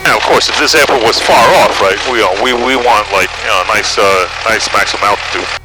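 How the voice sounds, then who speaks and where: Easygoing male flight instructor over loud cockpit noise. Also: fairly low pitch, quick pace. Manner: easygoing, encouraging, repeats short affirmations. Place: inside a small training airplane. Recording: noisy small-plane cockpit audio with engine and radio. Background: aircraft engine and radio noise.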